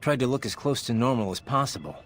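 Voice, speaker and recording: An actor playing a brooding, cynical cyborg mercenary in a video game, gruff and terse. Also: male voice, mid-range voice, lively intonation, fast talker, a bit bright, lightly acted. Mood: wry, reflective. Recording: music behind.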